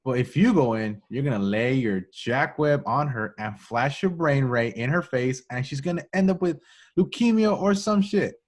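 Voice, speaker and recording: A man's voice: mid-range voice, animated, normal speed, close to an everyday voice. A man reading the part of a cocky, flirtatious agent in an amateur film table read, casual and swaggering. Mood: irritated. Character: cocky flirtatious man, undercover agent posing as police sergeant. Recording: home remote table-read recording.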